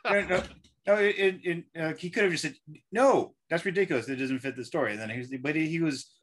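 A man, a guest author, chatting casually about writing in a halting way, often repeating words. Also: a little high, fairly bright tone.

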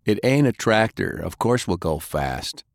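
Exasperated male fight instructor, read by a man, gruff and commanding, adventure audiobook. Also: on the low side, sing-song, unhurried, dry recording, clean studio sound, heavily acted. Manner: sighing, commanding.

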